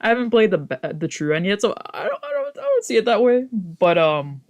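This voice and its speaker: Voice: medium pitch, very animated, brisk. A streamer casually narrating and commenting on a visual novel, swearing and reacting freely between lines read aloud. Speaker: streamer doing a let's play.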